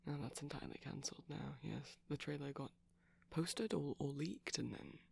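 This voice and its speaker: Shy soft-spoken guy playing a gentle vampire boyfriend, breathy n husky w some creak, halting asmr rambles, kinda whispery. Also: fairly high pitch. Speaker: ASMR roleplay performer.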